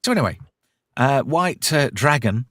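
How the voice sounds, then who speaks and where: A British man hosts a casual pop culture chat in a slangy, irreverent way. His pitch swings widely as he talks, and he swears freely. The sound is close and dry. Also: expressive, normal speed, fairly bright tone, dry recording. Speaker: host of a pop culture review show.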